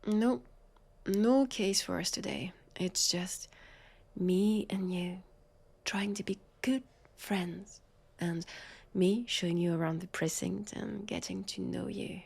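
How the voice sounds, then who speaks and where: A woman with a French accent playing a sardonic, weary, centuries-old vampire police officer in an intimate audio roleplay, breathy and sighing. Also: mid-range voice, fairly even intonation, slow pace, darkish tone, full character voice. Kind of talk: intimate scripted audio roleplay addressed to listener.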